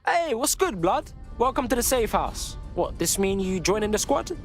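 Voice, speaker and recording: A man's voice: high voice, sing-song, normal speed. A man playing a brash, streetwise young resistance fighter in a game, with a punchy, slangy London accent. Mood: teasing. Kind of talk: video game dialogue. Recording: music behind.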